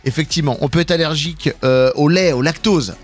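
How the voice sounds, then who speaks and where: A man in his late thirties hosting a morning radio show, lively and teasing, laughing often. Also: fairly high pitch, brisk, faint music behind. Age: late 30s. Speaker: radio host. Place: radio studio.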